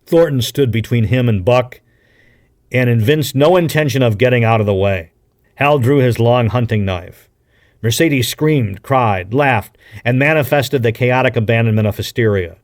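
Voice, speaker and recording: A man reading an adventure novel aloud with a deliberate, dramatic storytelling delivery, like a practiced broadcaster. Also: medium pitch. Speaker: audiobook reader, radio broadcaster.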